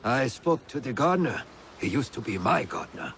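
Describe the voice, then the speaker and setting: A man playing a stern samurai lord in a video game, speaking in a deliberate, formal way. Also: medium pitch, animated, a bit bright, slight background noise. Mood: purposeful. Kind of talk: video game cutscene voice acting.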